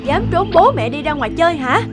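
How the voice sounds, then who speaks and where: A woman's voice: high-pitched, very animated, brisk, loud music behind the voice. A woman voicing a strict, anxious young mother in a cartoon, with an urgent manner. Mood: stern. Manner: scolding, urgent. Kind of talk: cartoon voice acting.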